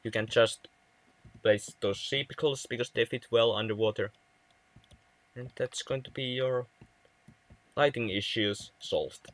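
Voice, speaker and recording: A man's voice: mid-range voice, dry recording. Young Finnish-accented man, dry close sound. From Finland. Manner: halting, restarts words often, chatty. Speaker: livestream gamer. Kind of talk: casual livestream chat with viewers.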